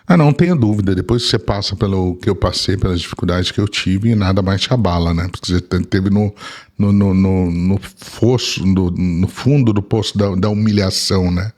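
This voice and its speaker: A middle-aged man with a very low, deep voice, Arabic as his first language. He speaks slowly and reflectively, stumbling over and repeating words as he searches for what to say. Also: a little mellow. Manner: halting and reflective, many restarts and repeated words, keeps adding "né?". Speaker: podcast guest, entrepreneur. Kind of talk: personal storytelling in a conversation.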